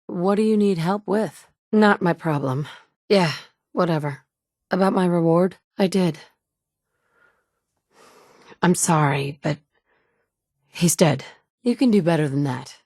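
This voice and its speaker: A dry-witted wasteland survivor. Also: female voice, on the low side, slow pace, long pauses, darkish tone, dry recording. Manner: dry and sardonic, shifting between blunt and caring. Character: wry woman survivor from before the war. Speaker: player character. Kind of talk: game dialogue lines. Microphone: studio mic.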